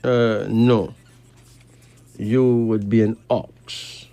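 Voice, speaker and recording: A man from Barbados with a Caribbean accent, hosting a late-night radio show in a measured, deliberate way with pauses between phrases. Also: fairly low pitch, flat delivery, warm tone, clean tone, dry recording. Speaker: radio host giving readings to callers. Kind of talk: late-night radio talk, slow monologue and caller chat. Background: faint music.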